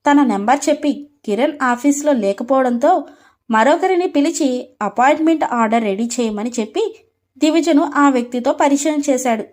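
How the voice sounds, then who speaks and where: A woman's voice: sits high, darkish tone. A woman storyteller reading scripted narration for a story.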